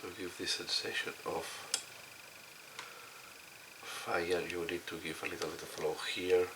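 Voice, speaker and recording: Male hobby tutorial host, non-native English, deep voice failing from a cold, explains step by step over clear background noise. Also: flat delivery, a little slow. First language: not English. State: hoarse from a cold, voice giving out. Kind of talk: instructional commentary while demonstrating. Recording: clearly noisy recording.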